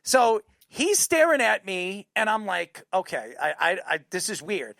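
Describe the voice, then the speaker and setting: Excitable New man with gravelly creak. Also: high voice, measured pace, dry recording, clean studio sound. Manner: excitable, repeats himself, profane. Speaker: sports talk show host. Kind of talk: casual chat, animated storytelling.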